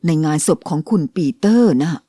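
A woman voicing a gentle, patient mother in a dubbed cartoon, speaking in a soothing, coaxing way. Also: brisk, no echo, clean studio sound, natural voice. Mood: gently warning. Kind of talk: cartoon dubbing.

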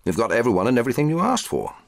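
A man's voice: mid-range voice, huge pitch swings, very fast, vocal fry, no room sound, clean studio sound, pitched above the performer's own voice. A man playing a brusque army major. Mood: impatient. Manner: curt, barking, pressed.

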